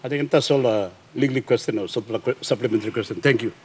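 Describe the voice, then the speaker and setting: A middle-aged Papua New Guinean man, a governor asking a question in a parliament chamber, speaking haltingly with many fillers and restarts. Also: mid-range voice, slight background noise. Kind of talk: parliamentary question time, mixing Tok Pisin. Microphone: desk mic behind a plexiglass screen.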